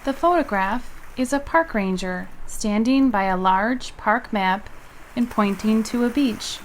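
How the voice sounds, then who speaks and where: Woman audio describer, plain and steady, reads out picture descriptions. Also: mid-range voice, a little slow, slight background noise, low-quality recording. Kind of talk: plain descriptive narration of photographs.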